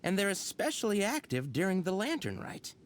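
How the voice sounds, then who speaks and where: A man's voice: high-pitched, sing-song, a little fast, slight background noise. An actor playing a coy, secretive young male informant in a video game, evasive and then matter-of-fact.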